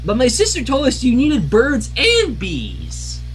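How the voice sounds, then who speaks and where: A man plays an eager little pony girl from a cartoon story. The delivery is high, lively and childlike, blunt and plain-spoken, over faint noise. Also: measured pace, full character voice. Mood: puzzled. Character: eager, blunt little filly ponies, young girls.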